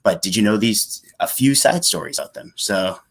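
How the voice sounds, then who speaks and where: Low-voiced man chatting on a podcast. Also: moderate pace. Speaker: podcast host and guest. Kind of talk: casual podcast talk.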